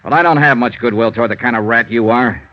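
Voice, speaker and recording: A man's voice: mid-range voice, fast talker, natural voice. An American man acting a tough, wisecracking insurance investigator in a 1950s radio drama, clipped and sardonic, with hardboiled narration. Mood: hard, threatening. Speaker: narrator and lead actor. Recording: muffled, noisy vintage radio recording.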